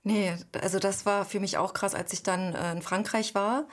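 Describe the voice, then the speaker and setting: A woman in her thirties from East Germany, a guest recalling her childhood and searching for words with frequent pauses. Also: medium pitch, steady tone. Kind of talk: reflective personal interview.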